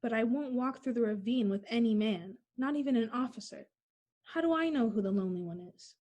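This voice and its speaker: A woman's voice: fairly high pitch, brisk, a little mellow, natural voice. A woman playing a frightened young woman alone at night, talking anxiously to herself in a theatrical old radio drama style. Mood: uneasy resolve. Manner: anxious self-talk, theatrical. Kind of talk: 1940s radio play acting. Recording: hissy, noisy recording.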